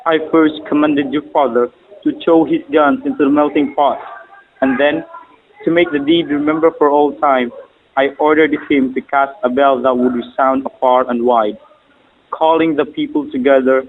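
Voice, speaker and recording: A man's voice: medium pitch, steady tone, moderate pace, natural voice. A man playing a proud, idealistic emperor in a stage drama, delivering courtly lines in a grand, formal manner. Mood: proud, resolute. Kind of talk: stylized stage drama recital of poetic lines. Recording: muffled phone-like audio.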